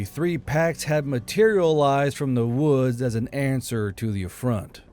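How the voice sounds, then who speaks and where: A man's voice: mid-range voice, darkish tone. A man narrating an audiobook story in a dramatic, scripted style.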